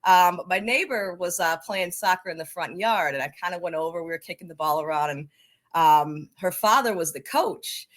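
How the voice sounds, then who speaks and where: A woman's voice: lowish voice, normal speed. A woman with an American accent talking casually as an interview guest. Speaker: podcast guest, coaching organization leader.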